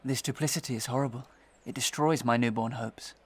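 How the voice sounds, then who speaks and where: An actor playing a desperate, wronged gentleman accuser in a Victorian melodrama, earnest, impassioned and declamatory. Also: medium pitch, fairly even intonation, fairly quick, lightly acted. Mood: indignant. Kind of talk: Victorian melodrama audio play acting.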